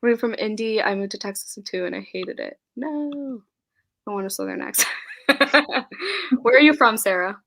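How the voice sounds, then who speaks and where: A woman's voice: mid-range voice, lively intonation, moderate pace, gravelly, long pauses, fairly bright tone. Giggly young woman hosting a livestream. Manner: giggly, scattered, laughs often. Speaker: host of a live book chat. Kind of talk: casual live-stream chat reading comments aloud.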